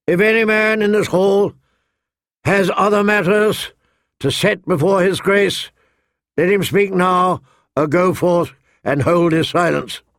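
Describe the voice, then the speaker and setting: A man voicing a royal herald who proclaims loudly and formally in a fantasy audiobook. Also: high voice, slow pace, dry recording, studio quality, voice pushed up, full character voice. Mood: proclaiming.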